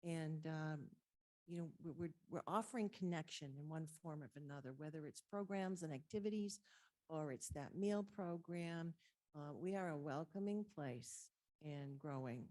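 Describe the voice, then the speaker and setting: Soft-spoken low-voiced woman presenting. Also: normal speed, no echo. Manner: soft-spoken, steady, with frequent fillers. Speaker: council on aging director presenting budget. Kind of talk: public meeting presentation. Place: city council chamber. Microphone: table microphone.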